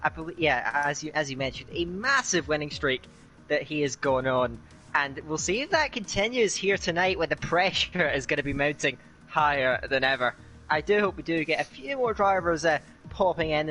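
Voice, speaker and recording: A young Scottish man commentating live on an online racing league, chatty and filling pauses with "uh". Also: fairly high pitch, bright, forceful tone. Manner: chatty, with frequent "uh" fillers and a quick laugh. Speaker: esports race commentator.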